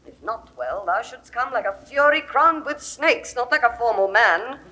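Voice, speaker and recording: A woman's voice: a little high, lively intonation, normal speed, sharp tone. An actress playing an imperious, lovesick Egyptian queen in a classical Shakespeare audio drama, with grand, theatrical delivery. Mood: anxious, threatening.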